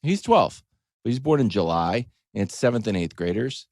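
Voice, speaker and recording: A man's voice: on the low side, sing-song, normal speed, a little mellow, no room sound, clean studio sound. A middle-aged American man telling a story as a show host in a casual, wry comic monologue, acting out little quoted asides.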